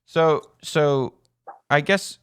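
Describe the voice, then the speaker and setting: A man hosting a podcast, asking questions in a relaxed way, leading in with fillers. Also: a little high, drawn-out words, clear, resonant tone. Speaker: podcast host. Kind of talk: casual interview.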